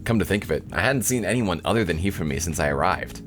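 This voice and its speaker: Visual novel protagonist: sardonic young guy read by a man, low-pitched, disappointed, dryly narrating. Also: lively intonation, fast talker, fairly bright tone, faint music behind. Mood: resigned. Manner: dry, incredulous, narrating.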